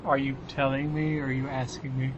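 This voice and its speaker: A man's voice: mid-range voice, flat delivery, brisk, muffled recording, background noise, close to an everyday voice. A man playing an exasperated brother on lookout, bantering conversationally in an audio comedy drama. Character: exasperated magic-using brother acting as lookout.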